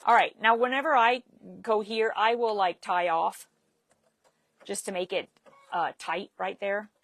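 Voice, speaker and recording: A woman's voice: mid-range voice, steady tone, measured pace, fairly bright tone. A woman leading a live sewing demonstration, chatting and laughing while she works. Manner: chatty, laughs easily, talks while working. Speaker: sewing instructor.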